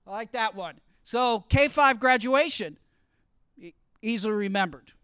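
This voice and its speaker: High-voiced man, crisp and dry. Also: expressive, measured pace, sharp tone, no echo. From grew up partly in Costa Rica. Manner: asks the audience questions, explains with extended metaphor. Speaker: college speaker teaching study skills. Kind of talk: interactive classroom talk.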